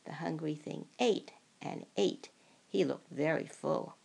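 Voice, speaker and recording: Creaky-voiced older woman reading a storybook. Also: sits low. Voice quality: gravelly, creaky. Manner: slow, expressive, acts out dialogue. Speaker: storybook reader. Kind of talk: animated children's picture-book read-aloud.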